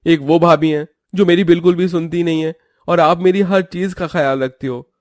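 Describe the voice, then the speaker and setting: High-voiced, polite, flustered young man by an actor. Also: fairly even intonation, normal speed, dry recording, clean studio sound, close to an everyday voice. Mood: grateful. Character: flustered, polite young brother-in-law, an office worker. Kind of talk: Hindi cartoon story acting.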